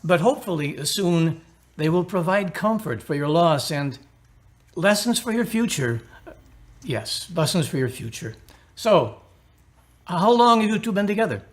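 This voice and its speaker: Man playing an earnest, kindly priest, higher-pitched voice. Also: expressive, measured pace, long pauses. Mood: consoling. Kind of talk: radio drama acting.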